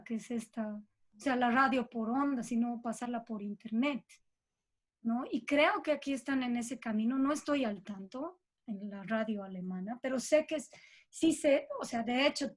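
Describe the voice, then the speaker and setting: A woman with a Bolivian Spanish accent and a fairly high voice talks as an interview guest. Her delivery is casual and conversational, working ideas out aloud and punctuating them with small tag questions. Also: normal speed.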